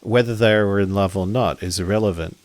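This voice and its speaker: A man acting as game master, narrating a tabletop roleplaying game in a deliberate, halting way and relaying what characters say. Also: fairly low pitch, no echo.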